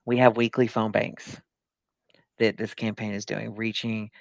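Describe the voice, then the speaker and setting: A man's voice: fairly low pitch, level tone, a little slow, creaky, dry recording. A man from the United States speaking as a political candidate in a conversational interview, plain-spoken and repeating phrases for emphasis. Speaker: political candidate, podcast guest.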